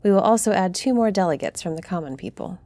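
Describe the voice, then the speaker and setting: Woman acting as stately, mellow-voiced council leader. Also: mid-range voice, animated, no room sound, close to an everyday voice. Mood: formal, official. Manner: formal, authoritative, deliberate. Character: stately female griffin-shifter council leader, solemn, fair-minded diplomat. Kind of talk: fantasy audio roleplay acting.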